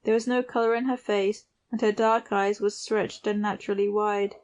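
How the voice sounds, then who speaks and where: A woman's voice: mid-range voice, fairly even intonation, moderate pace, darkish tone. A woman reading literary fiction aloud as a scripted audiobook narrator.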